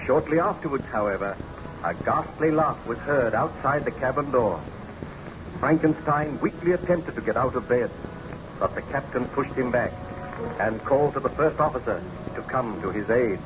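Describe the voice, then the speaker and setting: A man narrating as announcer of an old-time radio drama, formal and measured. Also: medium pitch, lively intonation, natural voice. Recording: muffled, noisy old radio recording.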